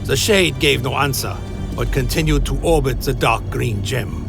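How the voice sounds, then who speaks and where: A man playing a haunted, wary adventurer who tells his own dark fantasy tale in a radio play, in solemn literary storytelling. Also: mid-range voice, normal speed, creaky, lightly acted. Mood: grave, wary. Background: music.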